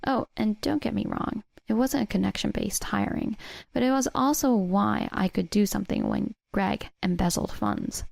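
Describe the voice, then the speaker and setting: Female narrator for first-person drama stories: measured read, mid pitch, creaky edge, very dry sound. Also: very animated, darkish tone, no room sound. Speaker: story narrator.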